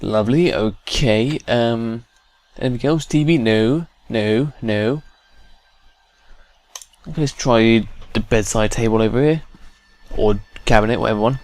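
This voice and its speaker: A man's voice: mid-range voice, a little slow, darkish tone. A man hosting a gameplay video, commenting casually and informally with playful exasperation. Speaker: gaming video host.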